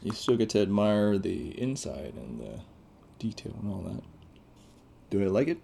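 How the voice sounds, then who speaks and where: Low, mellow-voiced man, casual reviewer. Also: sing-song, normal speed, vocal fry, a little hiss or hum. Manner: laid-back, off-the-cuff reactions, informal slang. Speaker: tech reviewer. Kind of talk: casual unboxing commentary.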